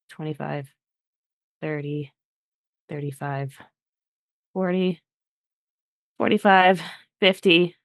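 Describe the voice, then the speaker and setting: A player in a tabletop role-playing game, relaxed, asking questions and counting moves aloud. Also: mid-range voice, dry recording, studio quality. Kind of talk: casual chat at a game table.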